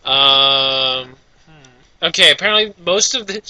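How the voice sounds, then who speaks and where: A man chatting casually as co-host of a fanfiction reading, speaking in a hesitant, halting way. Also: a little high, animated, pushed and bright, clean tone, poor audio. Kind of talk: casual chat.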